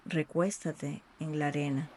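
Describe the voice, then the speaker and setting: Slow soothing guided meditation voice, mid pitch, lots of pauses, some ambient noise behind it. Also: flat delivery, a little mellow. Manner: soothing, unhurried, with long pauses. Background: ambient sea sounds.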